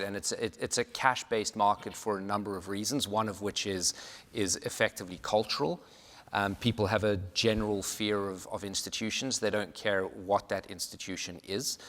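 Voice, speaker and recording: Man from south africa, low pitch, bright forceful voice, conference panelist, measured, hall reverb. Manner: measured, explanatory, frequent "um" and "right?".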